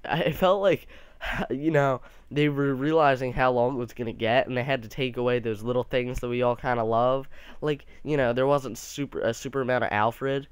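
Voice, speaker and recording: A man's voice: medium pitch, clear, resonant tone, no echo. A young man giving a casual, rambling movie review, sounding a bit nasal from allergies, with many restarts.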